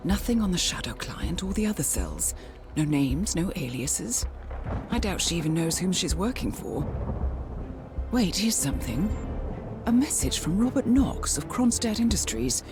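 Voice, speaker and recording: An actress playing a poised, wry assassin's handler in a video game cutscene, with a measured, dry, businesslike manner. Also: female voice, fairly low pitch, sing-song. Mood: focused, alert. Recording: dramatic music behind the voice.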